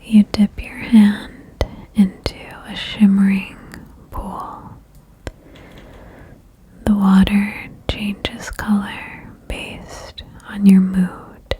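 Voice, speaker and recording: Very slow, whispering ASMR woman. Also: mid-range voice, little pitch movement. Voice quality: breathy, unvoiced whisper. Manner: slow, soothing, gently guiding with long pauses. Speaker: ASMR host guiding a relaxation game. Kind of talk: ASMR guided meditation.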